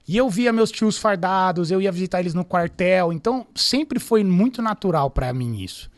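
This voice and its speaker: A man in his thirties with a São Paulo accent, a police captain calmly answering interview questions about his career. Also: high-pitched. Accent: Paulistano Brazilian Portuguese. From São Paulo, Brazil. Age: 30s. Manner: calm, measured, storytelling. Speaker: military police captain, guest.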